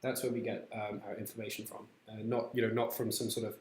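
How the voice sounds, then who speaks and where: A man's voice: on the low side, little pitch movement, fast, a little mellow. A man co-hosting and casually explaining an idea, his speech loose and full of "you know" fillers. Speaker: co-host. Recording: echoey room.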